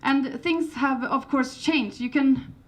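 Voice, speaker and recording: A woman's voice: high voice, slow. Swedish-accented woman giving a conference talk. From Sweden. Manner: hesitant, many fillers and restarts. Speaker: conference speaker. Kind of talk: conference presentation.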